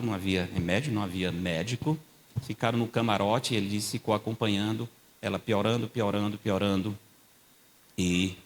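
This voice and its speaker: An older man preaching a sermon in a church. He tells stories in a conversational way, checks in with the congregation, and grows louder and higher when he quotes scripture. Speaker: guest preacher. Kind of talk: sermon with storytelling.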